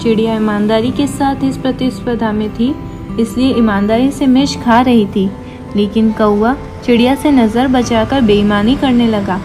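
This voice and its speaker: High-voiced woman narrating a children's story. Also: fairly even intonation, moderate pace. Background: background music.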